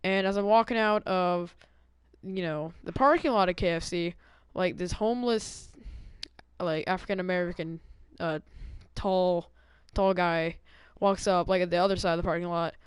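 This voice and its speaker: Young male gaming host, casual slangy storyteller with a clean, smooth voice, recorded close and dry. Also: high-pitched, normal speed, dry recording. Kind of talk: casual storytelling over gameplay.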